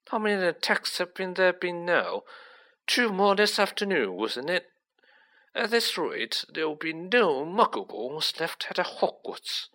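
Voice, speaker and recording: Man acting out cold aristocratic villain, smooth, condescending, raised pitch, slow sneering drawl, storybook. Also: a bit bright, full character voice.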